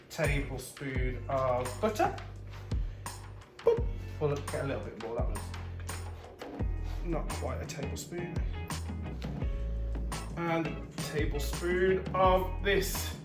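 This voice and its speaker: A woman's voice: low-pitched, lively intonation, slow pace, pauses often. A woman playing a vain, imperious pantomime queen with a pompous, dotty, theatrical manner on a comic cooking show. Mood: breezy, imperious. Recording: echoey room, music behind.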